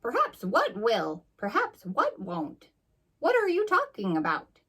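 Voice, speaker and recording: A woman voicing a nosy storybook monkey with drawn-out, questioning delivery. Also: on the high side, wildly swooping pitch, bright, forceful tone, breathy edge, far from the mic, voice pushed up, exaggerated voice. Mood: curious.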